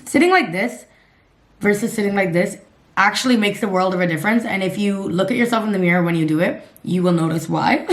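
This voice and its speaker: A woman in her twenties from Canada with a raspy voice, chatting bluntly and casually to her camera, swearing and laughing at herself. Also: medium pitch. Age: 20s. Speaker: lifestyle vlogger.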